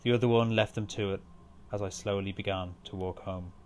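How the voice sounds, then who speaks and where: A man's voice: on the low side, steady tone, brisk, slight background noise. A man reading his own literary short story as scripted narration.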